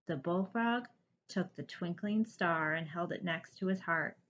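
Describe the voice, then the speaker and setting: A woman reading a children's storybook aloud like a teacher, with deliberate, expressive narration. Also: fairly low pitch, highs cut off. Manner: deliberate, animated.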